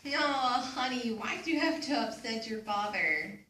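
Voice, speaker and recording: A woman's voice: a little high, animated, unhurried, bright, forceful tone. A woman playing a scolding yet placating mother in a readers theater performance. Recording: echoey room, distant mic, faint noise.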